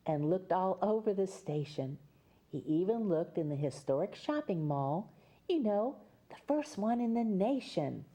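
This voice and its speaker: A woman storyteller in the role of Mother Goose, reading to children at an unhurried pace. Her voice is soft-edged and mellow, with pitch that moves up and down freely. She breaks from the text for chatty asides that explain pictures and places.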